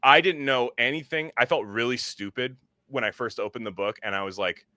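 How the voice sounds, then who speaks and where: A man hosts a casual podcast chat in a bright, forward voice, sitting close to a dry, dead-sounding mic. He rambles loosely, circles back on himself and drops the odd swear. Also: mid-range voice, fairly quick, no echo. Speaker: podcast host.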